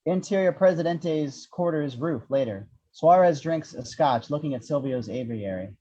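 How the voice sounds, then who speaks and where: A man's voice: fairly high pitch, expressive, moderate pace, warm tone. A man reading scripted action descriptions as the narrator of an amateur table read, in muffled audio.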